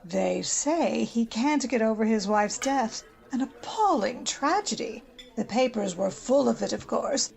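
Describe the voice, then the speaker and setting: A woman's voice: a little high, lively intonation, measured pace, creaky, darkish tone, heavily acted. A woman playing a conceited, bossy older American society matron in an old-time radio drama, haughty and gushing. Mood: haughty, gossipy.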